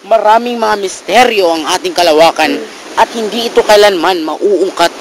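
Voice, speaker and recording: A young man plays a polite, earnest young nobleman at school in an amateur drama. His voice sits fairly high. He speaks formally and sometimes trips over his words. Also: moderate pace, a little hiss or hum, low-quality recording. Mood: reasoning. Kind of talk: amateur student drama acting.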